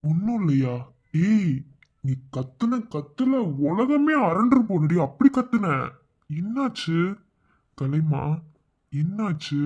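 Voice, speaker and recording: A man's voice: sits high, big pitch swings, slow, pauses often, a little mellow, dull audio, exaggerated voice. A man voicing an anxious, caring young husband who questions and soothes his wife. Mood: worried. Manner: anxious, coaxing. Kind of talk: audio drama acting.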